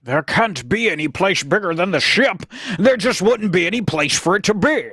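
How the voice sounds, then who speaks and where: A woman's voice: fairly low pitch, animated, normal speed, fairly bright tone, husky, dry recording, clean studio sound. A woman playing an anxious, hesitant young male scientist with orthodox beliefs in a radio sci-fi drama. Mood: disbelieving. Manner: hesitant, incredulous, protesting. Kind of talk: cold-read old-time radio sci-fi drama.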